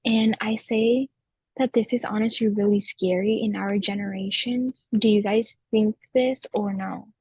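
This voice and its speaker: A woman's voice: medium pitch, fairly even intonation, unhurried, darkish tone, husky, no room sound, rough recording. An eighteen-year-old woman explaining her views earnestly in a student podcast discussion. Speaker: student panelist.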